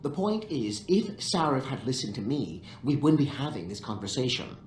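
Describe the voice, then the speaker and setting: Snide, resentful tech specialist for a game, played by a man; mid-range, very animated intonation, condescending stresses. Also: medium pitch, lightly acted. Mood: bitter, goading. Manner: sarcastic, smug, drawn-out emphasis. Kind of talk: video game cutscene acting. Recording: roomy echo, faint game ambience.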